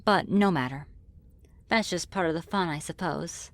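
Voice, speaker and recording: Low-voiced, gentle friendly demoness played by a woman. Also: close to an everyday voice. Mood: intrigued. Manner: gentle, reassuring, conversational with hesitant pauses. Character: friendly, flirty, apologetic female demon ruling her own realm. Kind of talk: ASMR roleplay spoken to the listener.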